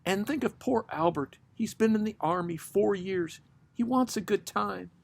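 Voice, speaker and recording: Man acting high, blunt gossiping pub woman. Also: moderate pace, vocal fry. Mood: scolding, gossipy. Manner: chatty, scolding, blunt.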